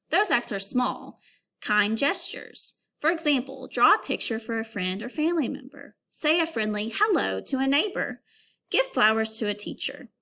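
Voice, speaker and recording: A woman with a fairly high voice and very animated intonation gives a read-aloud lesson for children. She speaks as an elementary teacher, phrasing things clearly and instructing young listeners step by step.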